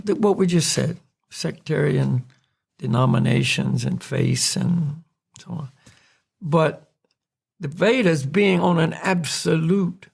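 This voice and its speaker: An American man from North Carolina, a podcast host calmly explaining spiritual ideas in a reflective, unhurried way. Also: fairly high pitch, gravelly, breathy edge. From North Carolina, USA. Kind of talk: spiritual discussion.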